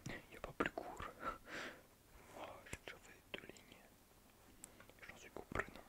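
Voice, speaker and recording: A man playing a fussy, flustered schoolteacher in a soft-spoken ASMR roleplay, hesitant, breathy and stiffly formal, often muttering near a whisper. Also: unhurried. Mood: muttering, weary. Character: fussy, flustered male schoolteacher on first day.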